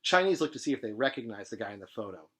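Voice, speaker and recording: A man reading literary fiction aloud in an expressive, dramatic manner with emphatic phrasing. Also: on the low side, racing, husky. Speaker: presenter reading a novel aloud.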